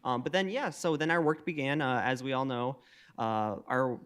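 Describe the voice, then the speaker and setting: Volunteer presenter, young man, Minnesota native, speaks haltingly. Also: mid-range voice, a bit bright, clear, resonant tone. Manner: halting, many filler sounds. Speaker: volunteer team member. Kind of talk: presenting slides at a public meeting.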